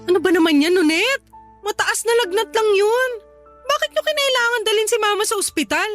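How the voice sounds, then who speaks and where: A Filipino actress playing a resentful, defiant young woman working abroad in a radio drama, with a sharp-tongued, argumentative manner. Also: extremely high pitch, a little fast. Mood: indignant, defiant. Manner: argumentative, indignant, sarcastic. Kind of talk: Tagalog radio drama acting. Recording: music behind the voice.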